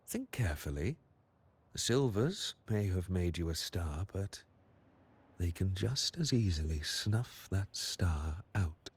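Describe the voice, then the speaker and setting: An actor voicing a sly, money-loving manager in a video game, with theatrical, mocking formality and a condescending air. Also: male voice, low voice, very animated, slow, slightly rough, full character voice. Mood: warning.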